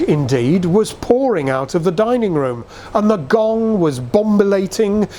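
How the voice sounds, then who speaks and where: A man reads a nostalgic literary tale as a dramatic storyteller. His voice sits a little high and swings expressively through the phrases, at a leisurely pace. There is faint noise behind him. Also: darkish tone. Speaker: reader.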